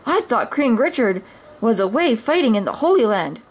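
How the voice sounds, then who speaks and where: A woman reading a storybook aloud, voicing a jolly, loyal male outlaw sidekick with a lively manner. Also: fairly high pitch, moderate pace.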